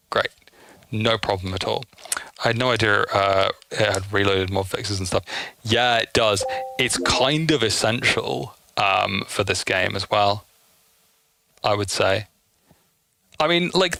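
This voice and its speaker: A man's voice: fairly low pitch, unhurried, vocal fry, long pauses, pushed and bright, dry recording. A man giving casual, chatty gameplay commentary, explaining things as he goes in an enthusiast's asides. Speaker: gameplay streamer.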